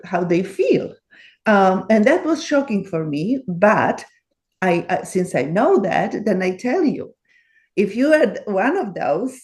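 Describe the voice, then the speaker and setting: A woman's voice: mid-range voice, a little mellow. A woman in her seventies from Poland with a Polish accent, answering interview questions earnestly with many fillers and restarts. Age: 70s. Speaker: guest author.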